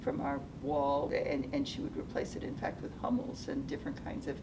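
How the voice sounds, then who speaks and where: A woman in her seventies, Japanese as her first language, telling her life story thoughtfully, often restarting her sentences. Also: very animated. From United States (Seattle). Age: 70s. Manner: thoughtful, halting, with frequent restarts. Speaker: interviewee, retired nonprofit CEO. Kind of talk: reflective personal storytelling. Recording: distant mic with low rumbling noise.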